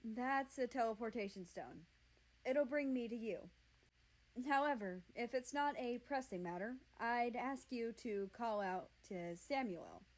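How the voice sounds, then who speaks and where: A woman voicing a friendly, considerate male healer in a fantasy storybook, explaining things gently. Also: fairly high pitch, expressive, measured pace, poor audio.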